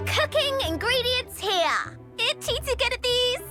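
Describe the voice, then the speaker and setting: A woman's voice: very high-pitched, big pitch swings, a little slow, over music, full character voice. A woman voicing a small, chirpy, proud cook creature in a video game, speaking of itself in the third person. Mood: eager.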